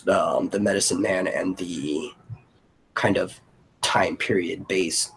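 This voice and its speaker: A man's voice: low-pitched, steady tone, takes it slowly, gravelly, breathy edge, rough recording. A man hosting a creative stream, chatting casually and informally as he thinks aloud. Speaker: host of an audio drama brainstorming stream. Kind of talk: casual group brainstorming chat.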